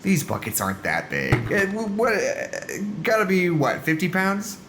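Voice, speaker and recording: A man's voice: high-pitched, huge pitch swings, normal speed, a bit bright, soft background music, heavily acted. A man acting in an audio drama as a laid-back, blunt coworker with a casual, slightly hesitant, dryly teasing delivery. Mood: casual, reassuring.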